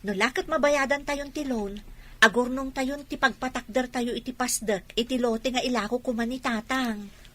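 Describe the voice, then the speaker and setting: A dutiful, hopeful young daughter in a radio drama. Her voice is fairly high and bright with a husky edge, and she speaks earnestly and respectfully. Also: moderate pace. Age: young adult. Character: earnest, dutiful daughter with big business dreams.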